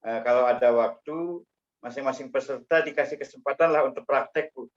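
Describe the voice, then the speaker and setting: A man's voice: mid-range voice, brisk. A man, a workshop participant who speaks politely and deferentially in short remarks. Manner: polite, deferential, brief replies. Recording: dull lo-fi sound.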